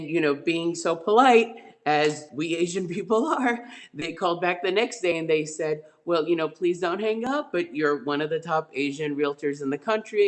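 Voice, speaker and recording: Man telling sales stories, many fillers. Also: fairly high pitch. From Spain. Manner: rambling, many fillers and restarts. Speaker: real estate agent. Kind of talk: webinar guest storytelling.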